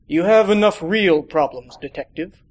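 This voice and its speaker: A man's voice: high voice, moderate pace. A man as a grumpy, battered old Santa. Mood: grumbling. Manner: curt, surly.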